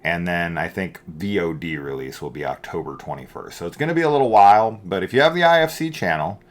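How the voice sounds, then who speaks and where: A man's voice: low voice, expressive, fast talker. A middle-aged man hosting a casual film review, rambling and opinionated, with emphatic points.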